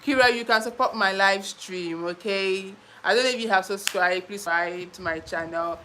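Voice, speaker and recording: A woman's voice: medium pitch, moderate pace, a bit bright, slight background noise. A young Nigerian woman with a Nigerian accent hosts a casual live stream, chatting playfully, reading viewer comments and laughing often.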